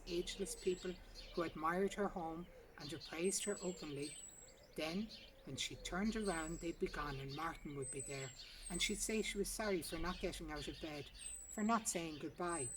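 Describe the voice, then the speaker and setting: A woman's voice: fairly low pitch, normal speed. Distant-miked woman reading a story outdoors. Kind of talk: scripted narration of a short story. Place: outdoors in a garden. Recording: distant mic, outdoor noise, birdsong. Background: birdsong and outdoor ambience.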